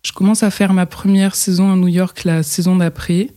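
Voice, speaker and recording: A woman's voice: lowish voice, level tone, normal speed, warm tone. A woman, a fashion model, talking casually in an interview, hesitant and full of fillers and restarts.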